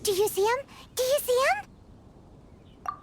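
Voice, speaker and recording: A woman voicing a tiny, excitable fairy companion in a video game who talks about herself in the third person. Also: very high voice, slow pace, heavily acted. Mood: curious. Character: tiny chirpy female fairy companion, curious. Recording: game audio with loud music behind.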